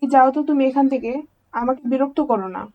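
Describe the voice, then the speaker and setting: A woman's voice: high voice, fast talker. A woman voicing a schoolgirl classmate in an Islamic cartoon, in a dull lo-fi recording. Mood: scornful, dismissive. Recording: dull lo-fi audio, music behind. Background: music.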